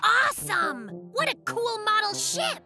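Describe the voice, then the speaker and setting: A woman voicing an excitable, boastful young brown bear cub in a cartoon, full of sound effects and big claims. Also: very high voice, measured pace, gravelly, heavily acted. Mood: excited. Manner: hyper, boastful, dramatic storytelling with sound effects. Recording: cartoon audio with loud music.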